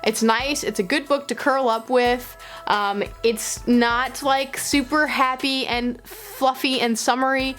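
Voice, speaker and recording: A woman's voice: sits high, fairly even intonation, takes it slowly, a bit bright, faint music behind. A young adult woman chatting casually to camera as a book vlog host, with informal emphasis and playful asides.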